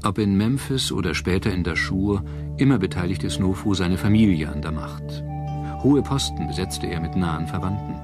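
Deep-voiced male documentary narrator over music. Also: moderate pace. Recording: music bed under narration. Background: background music.

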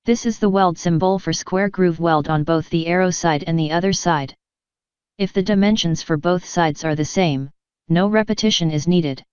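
A woman giving a measured, even, scripted narration as an instructor, explaining technical material step by step. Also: lowish voice, clean tone, no echo.

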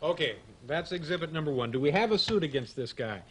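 A man's voice: on the high side, lively intonation, fast, slight background noise. A man in his thirties hosting a late-night comedy show, joking in a dry, mock-serious way at his desk in a TV studio. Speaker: talk show host. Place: TV studio stage before an audience. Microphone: desk microphone.

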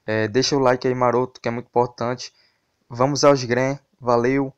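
A Brazilian man speaks in a mid-range voice with very little melody, keeping an even line as he goes. He sounds like an informal online host giving sports tips, addressing his viewers directly and casually. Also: mid-range voice, moderate pace, clear, resonant tone, highs cut off. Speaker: host of a football betting tips channel. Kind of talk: casual sports tips commentary over a screen recording.

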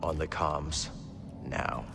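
A man's voice: rumbling low, steady tone, takes it slowly, gravelly, over loud music, full character voice. A man playing a determined young Jedi fugitive in a video game, terse and understated. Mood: cold, determined. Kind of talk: cinematic game dialogue.

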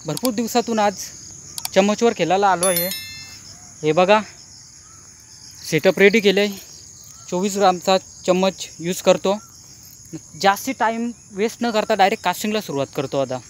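A man's voice: high voice, normal speed, pauses often. A young man chatting casually as a fishing vlogger outdoors. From India. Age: young adult. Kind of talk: casual outdoor vlog chat. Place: outdoors on a riverbank. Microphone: phone camera, varying distance. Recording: very noisy outdoor phone recording. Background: loud insects and outdoor ambience.

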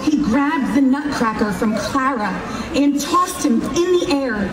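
Woman reading a storybook out loud slowly, kinda high voice, far off mic, crowd noise around. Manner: unhurried, expressive reading. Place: outdoor town square at night. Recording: echoey open air from afar with crowd.

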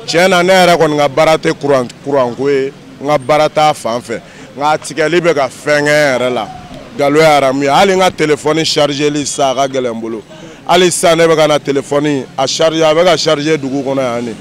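A man's voice: on the high side, a little fast. A young man with a slightly raised voice answers a street reporter's question outdoors. He speaks earnestly into a handheld mic that is held out to him. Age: young adult. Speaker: street interviewee. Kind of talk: vox pop answer. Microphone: handheld mic held by interviewer.

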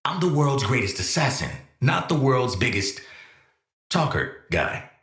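A man's voice: mid-range voice, very animated, measured pace, a bit bright, roomy sound. An American man voicing a cocky, world-weary assassin in a video game, with casual, slangy, wry delivery. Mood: wry, needling. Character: cocky, world-weary male assassin, gruff team player. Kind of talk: video game character voice lines.

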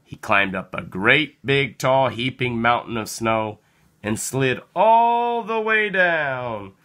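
A person reading a children's picture book aloud in an expressive, dramatic storytelling manner. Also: medium pitch. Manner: animated, slow, dramatic delivery with acted sound words. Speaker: storybook reader.

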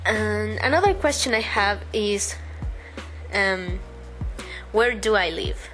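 A woman's voice: medium pitch, lively intonation, slow pace, poor audio. A young woman from Uruguay with a Spanish accent, a native Spanish speaker, chatting hesitantly over a speedpaint video with many ums and restarts. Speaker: artist answering viewer questions. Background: soft background music.

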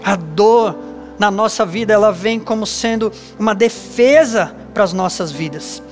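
A man's voice: sits high, soft background music. Earnest Brazilian man preaching on stage. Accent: Brazilian Portuguese. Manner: earnest, measured preaching with emphatic pauses. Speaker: pastor. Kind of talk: sermon. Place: dark church stage with lights, indoors.

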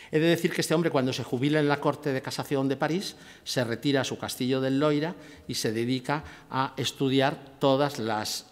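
A man in his sixties giving an academic lecture from a table at a conference, measured and often restarting his phrases. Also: mid-range voice. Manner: measured, with frequent restarts and fillers. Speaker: conference speaker. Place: lecture hall, seated at a table with a laptop. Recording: long hall reverb.

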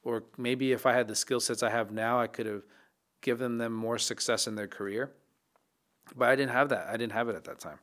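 A man in his thirties, a chef and podcast guest, talking in a reflective, casual way, often restarting his sentences, into a studio mic in a dark podcast studio. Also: medium pitch, steady tone, a little fast. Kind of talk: casual interview talk. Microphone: studio mic on boom arm.

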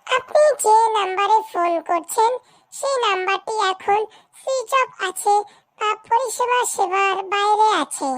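A woman acts out an automated phone network voice in a cartoon. It is extremely high and very dry, with the formal delivery of a recorded service message. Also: unhurried, a bit bright, no room sound. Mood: formal, flat.

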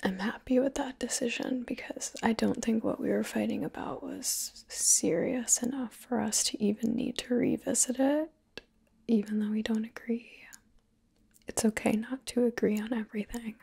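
A young woman whispering softly and intimately in an ASMR roleplay, close to the mic, with deliberate pauses. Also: a little high, fairly even intonation. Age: young adult. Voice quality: breathy whisper. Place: small living room, indoors.

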